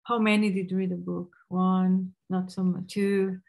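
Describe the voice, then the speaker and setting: Slow-speaking woman moderating an online talk. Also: fairly low pitch, level tone, vocal fry, a little mellow. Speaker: host moderator. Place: home room with bookshelves. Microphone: video call webcam.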